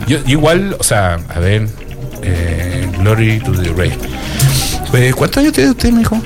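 A man's voice: fairly low pitch, huge pitch swings, a little slow, over music. A man in his forties with a Chilean accent, hosting a casual radio show and chatting between listener messages. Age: 40s. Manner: chatty, reads listener messages aloud with friendly asides. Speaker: radio host.

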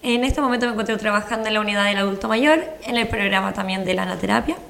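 A woman's voice: medium pitch, rapid-fire, gravelly, a bit bright. A woman with a Chilean accent speaking politely and formally as a regular on a live radio show.

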